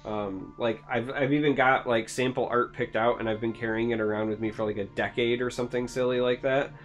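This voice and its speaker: A man's voice: medium pitch, steady tone, fairly quick, muffled recording, soft background music. A man from Michigan hosting a casual podcast chat, asking questions and cracking dry jokes. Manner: dry, joking, asks questions.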